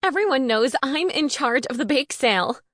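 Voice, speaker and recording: A woman's voice: a little high, lively intonation, moderate pace, no room sound, studio quality, pitched above the performer's own voice. A woman voicing a haughty, controlling young woman in an audiobook, huffy, dramatic and emphatic. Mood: near hysterics.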